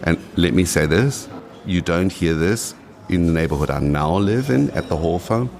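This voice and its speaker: A man's voice: deep voice, expressive, moderate pace, gravelly, darkish tone, slight background noise. A man in his late forties from South Africa with a South African accent, rambling and restarting as he chats in an interview. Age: 40s. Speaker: guest. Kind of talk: casual interview.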